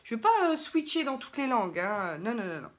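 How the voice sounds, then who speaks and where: A woman's voice: on the high side, very animated, brisk. A young French woman chatting casually to viewers as she does a makeup tutorial, often drifting into asides. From France. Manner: chatty, digressive, talks to viewers. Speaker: beauty vlogger.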